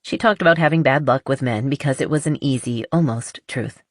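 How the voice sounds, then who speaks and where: Low-voiced woman narrating an audiobook. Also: fairly quick, dry recording, clean studio sound. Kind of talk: scripted fiction narration.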